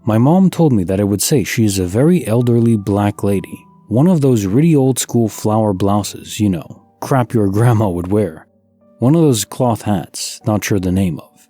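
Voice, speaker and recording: Male narrator, low mellow voice, calm bedtime-story reading over faint music. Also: moderate pace, no echo. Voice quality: soft and low. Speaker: narrator of sleep stories. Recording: light music underneath.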